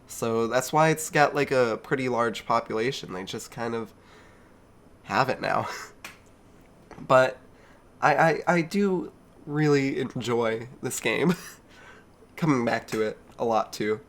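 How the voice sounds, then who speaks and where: A man's voice: mid-range voice, slow, long pauses, a bit bright. Nasal, stuffy-nosed young man. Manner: rambling, self-mocking, chuckles often. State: congested with a cold. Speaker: video game streamer. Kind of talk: casual gameplay commentary.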